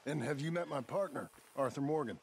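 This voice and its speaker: An actor playing a cocky, glib outlaw dealmaker in a game, speaking in a folksy way with an American accent. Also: male voice, on the high side, brisk, slight background noise, natural voice. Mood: ingratiating. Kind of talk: dramatic game cutscene acting.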